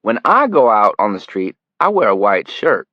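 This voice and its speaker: A man's voice: on the low side, animated, moderate pace, dry recording, lo-fi sound, voice pushed up. A man playing a cruel, menacing middle-aged man who taunts and sneers. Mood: taunting menace.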